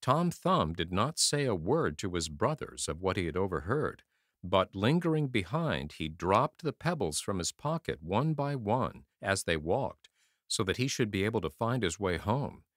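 A man narrating a fairy tale as an audiobook reader, in a measured, expressive storytelling manner. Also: on the low side, no room sound, clean studio sound.

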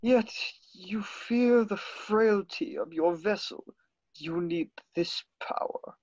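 A man voicing an ancient alien Sith master in a fan table read, raspy and creaky, mocking and menacing in manner, over a video call. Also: sits high, a little mellow, no echo, dull audio, full character voice. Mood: commanding. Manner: slow, menacing, amused. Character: ancient spindly alien Sith master, seven thousand years old, mocking.

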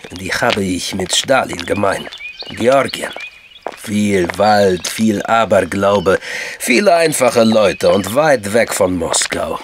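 An actor playing a calm, knowing Georgian intelligence man in a German crime radio drama, speaking deliberately and formally. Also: fairly low pitch, noisy background.

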